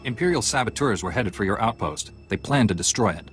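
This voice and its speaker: An actor playing a calm, resolute warrior-monk hero with a measured, direct manner in a video game. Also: male voice, fairly low pitch, lightly acted. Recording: clear background music.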